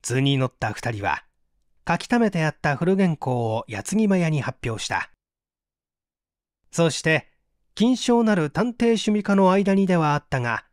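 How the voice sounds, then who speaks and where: Expressive male storyteller, high-pitched. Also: no echo, studio quality. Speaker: story narrator. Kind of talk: dramatic literary audiobook reading.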